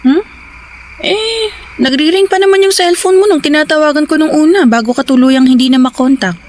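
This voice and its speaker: High-voiced gentle Filipino wife and mother played by an actress. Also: a little fast, natural voice. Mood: probing, uneasy. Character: caring, quietly suspicious young wife and mother. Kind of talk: Tagalog radio drama acting.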